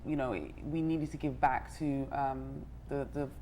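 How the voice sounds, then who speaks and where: A woman with a low voice answers interview questions in a halting, hesitant way. Noise can be heard in the recording. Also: steady tone, warm tone. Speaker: fellowship organiser, interview guest.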